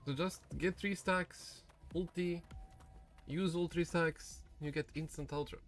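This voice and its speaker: A man's voice: a little high, fairly even intonation, measured pace, a bit bright. A man giving casual gameplay commentary, thinking aloud a little hesitantly as he streams. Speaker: streamer playing a game. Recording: faint game music behind. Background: game music.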